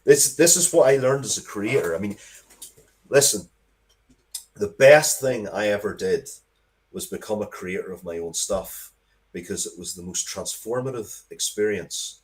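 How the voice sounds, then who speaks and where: Low-voiced, sweary middle-aged man chatting. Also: rough recording. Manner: sweary, rambling, animated storytelling. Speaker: interview guest, game and comics creator. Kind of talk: casual enthusiastic interview chat.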